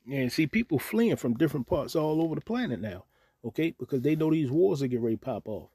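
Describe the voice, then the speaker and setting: A man's voice: a little high, expressive, quick pace, mellow, slightly rough, no echo. A man giving casual religious commentary on the news, reading scripture aloud and tagging nearly every point with "okay?". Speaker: online preacher commenting on news. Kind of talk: casual religious commentary over screen recording.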